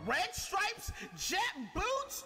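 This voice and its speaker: A man's voice: very high-pitched, very animated, very slow, full character voice. A man voicing an unhinged, whiny, bombastic mad scientist villain in a comic game fandub, theatrical and prone to wailing. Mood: mocking, flustered. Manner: theatrical, swooping into shrieks and wails. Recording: loud game music behind.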